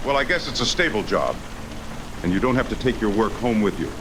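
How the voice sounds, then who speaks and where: Man playing a wry, very expressive everyman ghost hunter. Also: lowish voice, a little fast, background noise, close to an everyday voice. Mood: wry worry. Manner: wry, plain-spoken grumbling. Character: down-to-earth, exasperated male ghost hunter, practical skeptic. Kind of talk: motion comic voice acting. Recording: loud music bed under voice.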